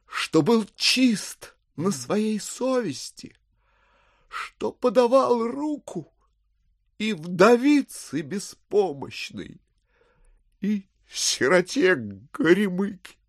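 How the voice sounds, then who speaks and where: An ingratiating gentleman schemer in a classic audio drama. His voice is on the high side and slow, declaiming with florid, unctuous courtesy. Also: very animated, dry recording, studio quality, heavily acted. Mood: plaintive, self-pitying. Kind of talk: theatrical Russian classic audio drama acting.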